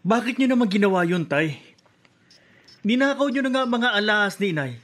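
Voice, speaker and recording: A man's voice: high voice, sing-song, a little fast, a bit bright. A man with a Filipino accent playing an earnest, hurt young son in a radio drama. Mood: indignant. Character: earnest, hurt, indignant young son confronting his father.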